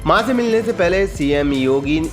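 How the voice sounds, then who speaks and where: A man's voice: fairly high pitch, expressive, normal speed. Male news narrator over prominent music.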